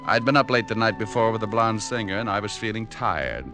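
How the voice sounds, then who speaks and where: An actor playing a wisecracking, dry-humored private detective who also narrates, in 1940s radio acting. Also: male voice, fairly low pitch, a little fast, close to an everyday voice. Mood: narrating. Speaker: narrator and lead. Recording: old radio broadcast with music.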